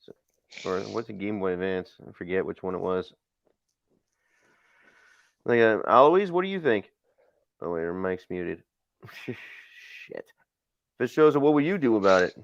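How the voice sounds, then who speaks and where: A man's voice: sits low, lively intonation, unhurried, vocal fry, long pauses, no room sound. A man chatting casually as a stream host on an online voice call. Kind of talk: casual chat. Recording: dry, dull online call audio.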